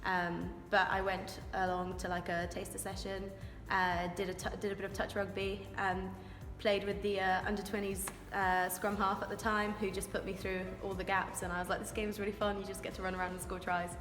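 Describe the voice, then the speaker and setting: A woman's voice: mid-range voice, little pitch movement, a little fast, fairly bright tone. Young woman athlete, informal and keen, recorded in a reverberant hall. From Wales. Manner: chatty, eager, informal. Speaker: rugby scrum-half. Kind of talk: interview answers. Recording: echoey room with long reverb, music behind.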